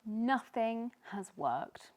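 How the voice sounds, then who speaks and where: A woman's voice: fairly high pitch, expressive, very slow, voice pushed up, heavily acted. A woman voicing an eager, helpful little fish friend with an encouraging, coaxing manner in a storybook. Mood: resigned. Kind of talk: children's storybook character voice.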